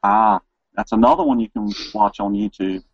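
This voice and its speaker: A man's voice: on the low side, fairly even intonation, normal speed, no echo, muffled recording. A man hosting a casual interview, talking informally and enthusiastically. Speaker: interview host. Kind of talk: casual chat.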